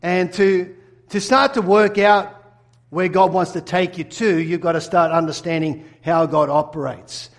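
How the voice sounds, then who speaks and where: A man's voice: sits high, a little slow. A middle-aged Australian man from Sydney, preaching a sermon and telling his own story earnestly, putting questions to the congregation. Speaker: pastor. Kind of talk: sermon with personal testimony.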